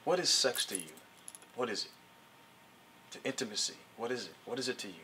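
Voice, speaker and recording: A man's voice: medium pitch, lively intonation, a little fast, slight background noise. A man hosting a casual talk show, teasing his guest and asking frank questions. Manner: playful, teasing, jumps to loud impressions of friends.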